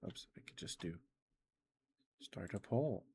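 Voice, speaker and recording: A man chatting casually as a streamer on an ASMR livestream, thinking aloud in a hesitant, halting way. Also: lowish voice, lively intonation, mellow, a little hiss or hum.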